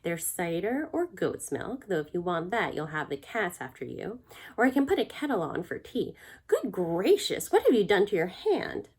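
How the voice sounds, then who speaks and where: Woman voicing Morwen, a brisk no-nonsense witch. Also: lowish voice, sing-song, husky, exaggerated voice. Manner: firm, no-nonsense. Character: brisk, bossy, practical witch.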